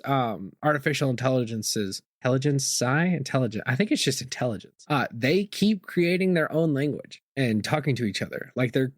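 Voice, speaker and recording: A man's voice: fairly high pitch, no room sound, studio quality. A young man hosting a podcast, rambling casually and informally with slangy, profane turns of phrase. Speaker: podcast host.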